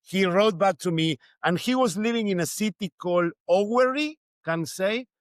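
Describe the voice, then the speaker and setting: Male podcast interviewer, fairly high voice, halting non-native delivery, casual, dry close sound. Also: no echo. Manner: halting, repeats words in non-native English.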